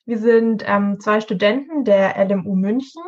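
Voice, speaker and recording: A woman's voice: mid-range voice, moderate pace, darkish tone. Young woman, dull lo-fi sound. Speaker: student podcast co-host. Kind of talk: prepared explanatory podcast talk. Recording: dull lo-fi podcast audio.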